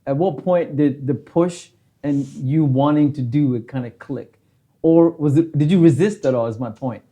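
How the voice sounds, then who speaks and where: A host running a relaxed interview, voice in a middle register. The delivery is halting, with frequent stumbles and restarts. Questions come in a casual, chatty way. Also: medium pitch.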